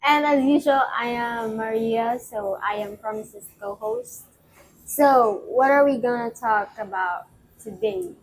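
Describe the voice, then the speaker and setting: A woman's voice: fairly high pitch, lively intonation, unhurried, a little hiss or hum, low-quality recording. A young woman from the Philippines co-hosting a casual chat, explaining at length with lots of "um" and "like". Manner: explanatory, with fillers like "um" and "like". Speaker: co-host.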